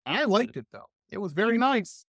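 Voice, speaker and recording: A middle-aged man hosting a radio interview show, chatting with an enthusiastic, animated manner. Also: high-pitched, normal speed, no echo, clean studio sound. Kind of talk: casual interview chat.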